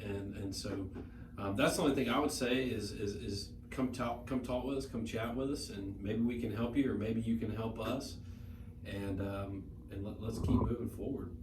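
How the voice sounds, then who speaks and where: A man in his late thirties talks in a casual podcast chat, his voice sitting low. He rambles and circles back, repeating words as he restarts his thoughts. The recording is roomy and noisy, with the mic some way off. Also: steady tone, moderate pace. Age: 30s. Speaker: guest, brewery owner. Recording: echoey room, noisy, mic at a distance.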